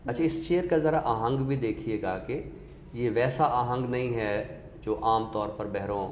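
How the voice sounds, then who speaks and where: A man with a Pakistani accent, giving a literary talk with recited verse in a measured, explanatory way with pauses. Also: mid-range voice. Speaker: host of an Urdu literature show. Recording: echoey reverberant room, faint noise.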